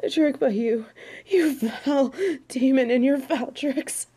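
A woman's voice: sits high, takes it slowly, mellow, slightly rough, heavily acted. A woman playing a snobby, prickly fallen angel in an audio roleplay, with a haughty, theatrical manner. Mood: distraught, panicked. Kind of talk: audio roleplay acting addressed to the listener.

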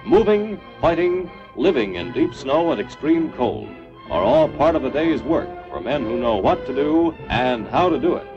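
A man's voice: mid-range voice, very animated, normal speed, few pauses, music in the background. A man reading a scripted 1950s military training film narration in a formal, clipped, instructional manner. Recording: dull lo-fi 1950s film soundtrack.

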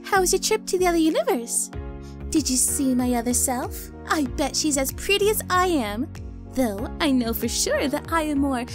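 A vain little female moth monster played by a woman chatters in a high, very lively voice. She is chirpy and sassy, with cartoon sweetness and music behind her. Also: moderate pace. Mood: bubbly. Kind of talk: cartoon comic dub.

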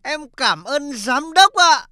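A man's voice: very high-pitched, animated, slow pace, sharp tone, dry recording, studio quality, exaggerated voice. Man as a timid cartoon office employee. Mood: deferential. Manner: hesitant, deferential. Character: timid deferential male office employee at a contest. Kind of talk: cartoon comedy acting.

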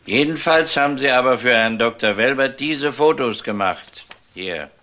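An actor playing a methodical, world-weary police detective in a crime drama, questioning in a measured, insistent way. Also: male voice, mid-range voice, pushed and bright, lightly acted. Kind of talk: German TV crime drama dialogue.

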